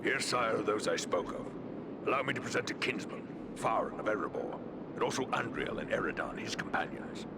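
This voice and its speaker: A man's voice: expressive, fairly quick. A man playing a proud dwarf warrior, a lord's son, with formal, earnest speech in a video game. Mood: respectful. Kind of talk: video game cutscene acting. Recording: loud low rumbling noise behind the voice.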